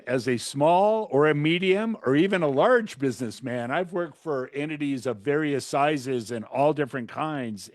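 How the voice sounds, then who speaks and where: Halting man, mid-pitched, very dry sound. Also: dry recording. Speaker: podcast co-host, businessman and consultant. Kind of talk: conversational policy commentary.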